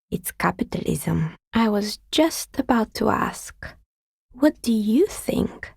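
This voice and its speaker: Casting: wry woman dicemaker for a game, creaky voice, politely skeptical, close dry sound. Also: mid-range voice, darkish tone, no room sound, heavily acted. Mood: dry, amused. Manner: dry, sardonic, measured politeness. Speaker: video game character. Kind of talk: video game dialogue acting.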